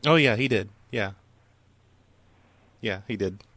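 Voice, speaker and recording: A man's voice: on the low side, slow pace, a bit bright, dry recording. Man, prank caller, teasing, mock-earnest, dry close sound. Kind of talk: prank phone call.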